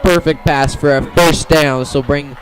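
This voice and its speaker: A man doing live radio play-by-play of a high school football game in clipped, descriptive phrases. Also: steady tone, moderate pace, poor audio. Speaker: play-by-play sports commentator.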